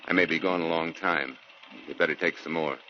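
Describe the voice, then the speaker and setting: A man acting a gruff, affectionate father, a Marine major, in a 1940s radio drama, hearty and indulgent. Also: very deep voice, steady tone, a little fast. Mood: fond, teasing. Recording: dull, noisy 1940s radio recording.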